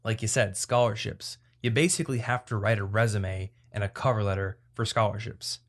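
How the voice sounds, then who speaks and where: A man with a fairly low voice hosts a casual advice podcast. He talks conversationally, explaining his points in an informal way. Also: a little fast. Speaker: podcast host.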